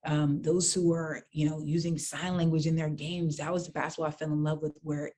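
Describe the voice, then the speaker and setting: Earnest young woman on a flat, even voice. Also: low voice, a little fast. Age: young adult. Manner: earnest, reflective, with many ums and soft trailing phrases. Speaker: guest, author and basketball nonprofit founder. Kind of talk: virtual interview conversation. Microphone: video call.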